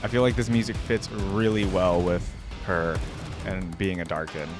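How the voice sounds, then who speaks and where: Guy with a lowish voice doing a casual reaction vid, thinking out loud, music going behind him. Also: level tone, moderate pace. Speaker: host of a game reaction video. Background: game theme music.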